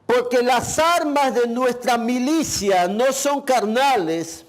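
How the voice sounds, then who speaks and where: Male pastor, very high voice, unhurried emphatic sermon delivery. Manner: slow, emphatic preaching with drawn-out phrases; uses the filler "este".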